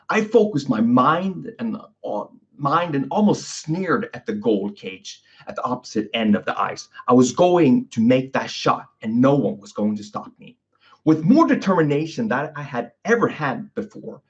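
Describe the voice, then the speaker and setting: A man hosting his own podcast, talking casually and often tagging his lines with "okay?" and "you know". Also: medium pitch. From Sweden. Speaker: podcast host, physiotherapist. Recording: dull lo-fi recording.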